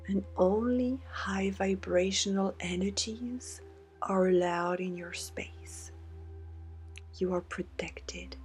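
Lady doing guided meditation, slow soothing voice kinda breathy and whispery, medium pitch, music behind her. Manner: soothing, deliberate, with breathy half-whispered phrases. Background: meditation music with singing bowl tones.

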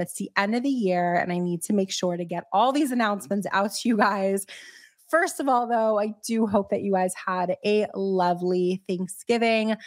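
A young woman hosting a podcast, slightly hoarse from a cold, chatting through announcements in a bubbly, casual way full of fillers. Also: medium pitch, no echo, studio quality. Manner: bubbly, chatty, lots of "like" and "you guys". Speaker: podcast host.

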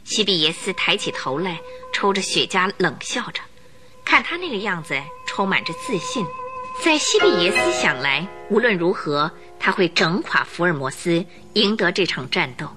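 A woman's voice: fairly low pitch, sing-song, moderate pace, pushed and bright, faint music behind. A woman narrating a radio drama in a vivid, dramatic storytelling manner.

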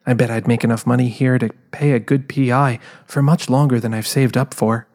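A man's voice: medium pitch, fairly even intonation, brisk, natural voice. A man playing a guarded, driven female private eye, narrating introspectively in a scripted audio drama. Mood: guarded, reflective. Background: quiet music.

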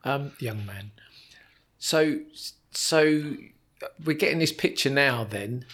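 A man hosting a podcast interview, asking questions and summing up his guest's story in a measured, encouraging way. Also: medium pitch, a bit bright. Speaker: podcast host. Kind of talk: interview conversation.

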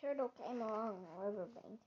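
A child reading a children's storybook aloud in a halting, stumbling way. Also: medium pitch. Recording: dull lo-fi recording.

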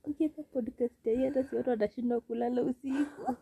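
A woman's voice: high-pitched, fairly even intonation, quick pace, gravelly, warm tone, breathy edge. A Kenyan woman co-hosting a podcast in casual, bantering chat. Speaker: podcast co-host. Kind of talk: casual bantering chat, mixing Swahili and English.

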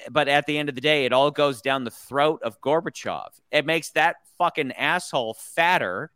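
An American man holds forth in a casual movie podcast with a bright, forceful tone and a smooth, crisp voice. he rambles, swears freely and keeps circling back to restart his points, all close to the mic in a dry room. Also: on the high side, normal speed, no echo. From United States. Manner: rambling, opinionated, profane, frequent restarts. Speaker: podcast host. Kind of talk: casual movie review chat.

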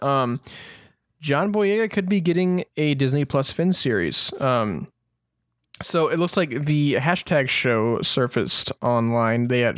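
A man's voice: a little high, dry recording. Man co-hosting a casual podcast, dry sound. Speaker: podcast co-host. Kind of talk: casual news discussion.

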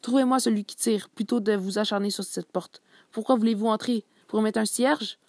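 A woman voicing a harried male police commissioner who shouts angry orders at his men. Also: mid-range voice, fairly even intonation, fast, dry recording, lightly acted. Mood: angry shouting.

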